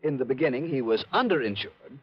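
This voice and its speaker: A man's voice: medium pitch, expressive, brisk, slightly rough. An actor playing a fussy worrywart American insurance man with a hesitant, pedantic manner in a 1950s radio drama. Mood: fussy, precise. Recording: dull vintage radio recording.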